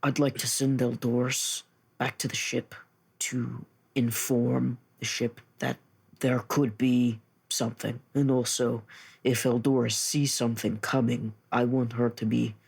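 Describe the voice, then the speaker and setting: A man's voice: medium pitch, little pitch movement, measured pace, vocal fry, husky. A man improvising as a player on a tabletop role-play podcast, talking earnestly and considerately.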